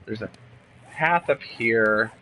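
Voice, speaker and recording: A man's voice: lowish voice, lively intonation, takes it slowly, bright, forceful tone, a little hiss or hum, low-quality recording. A young man with a congested voice from a cold, chatting casually and rambling through a let's play from a room at home. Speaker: gaming YouTuber.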